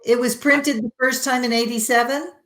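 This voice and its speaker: A woman interviewer with a fairly high voice asks her guest questions and thanks him warmly. She is recorded in an echoey room. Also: steady tone, moderate pace. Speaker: interview host. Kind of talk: conversational interview.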